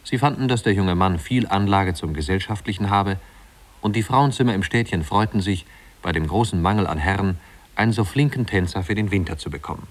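A man's voice: deep voice, fairly quick. A man narrating a literary tale as the scripted storyteller of a 1950s radio play.

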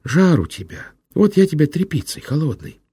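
A man voicing a gentle, talkative old male monk who lives in the forest, speaking softly and kindly. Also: on the high side, big pitch swings, normal speed, slightly rough, no room sound, pitched above the performer's own voice, full character voice. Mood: soothing. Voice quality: soft, kindly, soothing.

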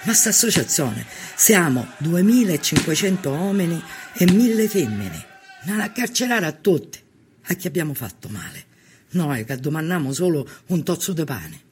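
An impassioned, plain-spoken peasant militant in a historical documentary drama. His voice is fairly high for a man and carries a Sicilian accent. Some noise sits behind it. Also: very animated, moderate pace. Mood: indignant.

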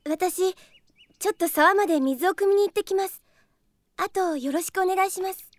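A woman playing a gentle, polite, earnest young healer in a Japanese radio drama. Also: very high-pitched, moderate pace, no room sound. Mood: cheerful, polite. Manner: soft-hearted, polite, earnest. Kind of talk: Japanese anime-style radio drama acting.

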